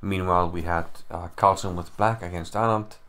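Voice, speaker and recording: A man's voice: sits low, fairly even intonation, moderate pace, noisy background. A man with an Icelandic accent casually analyzing a chess game from home, chatty and self-deprecating as he thinks aloud. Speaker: chess commentator. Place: home room. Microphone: computer mic, no camera.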